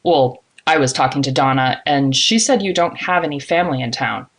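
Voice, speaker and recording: Woman voicing a low, friendly, disarming young man. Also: fairly quick, breathy edge, full character voice. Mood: friendly, slightly nervous. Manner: calm, reassuring, casual. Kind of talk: audiobook character dialogue.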